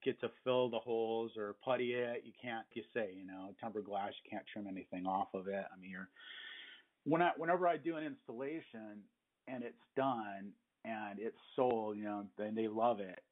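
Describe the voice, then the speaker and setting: A man's voice: on the low side, normal speed. A man chatting conversationally as an interviewer with trade experience, recorded over a telephone. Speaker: interviewer with experience as a glass installer. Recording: thin, narrow phone line.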